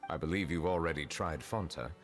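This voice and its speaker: A man playing a courteous, solemn chief justice in a video game, speaking in a measured, formal and earnest manner. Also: very deep voice, loud music behind the voice. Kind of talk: video game character acting.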